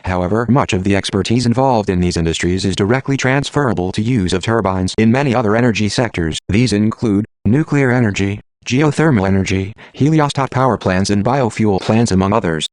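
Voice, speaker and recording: A man voices a calm machine assistant for an audio drama. The voice is on the low side and free of room sound, with formal, matter-of-fact, measured explanations. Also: no room sound, close to an everyday voice. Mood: calm, informative.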